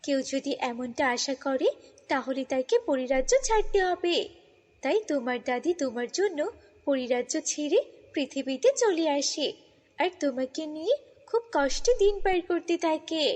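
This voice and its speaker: A woman voicing a kindly, beautiful fairy in a children's cartoon, earnest and gently admonishing. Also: high voice, animated, normal speed, in a large hall. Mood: earnest, revealing.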